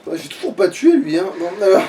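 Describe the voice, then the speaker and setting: A man's voice: high voice, sing-song, fast talker, warm tone, recorded from a distance, slight background noise. A man chatting casually as he plays a video game, grumbling and swearing. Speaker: let's player playing the game. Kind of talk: casual gaming chat, live play commentary.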